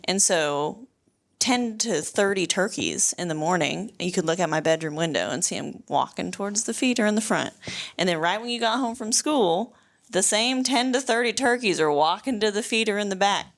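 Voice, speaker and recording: A woman from South Texas in a casual panel chat, talking in a wry, quick-witted way. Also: mid-range voice, animated.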